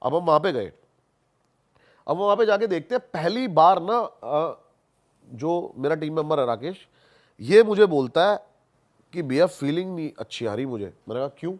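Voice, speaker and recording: A man's voice: a little high, expressive, moderate pace, long pauses. A man telling stories casually as a podcast guest, colloquial and repeating himself for emphasis, mixing in English words. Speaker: podcast guest, haunted-place investigator. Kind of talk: animated casual storytelling on a podcast.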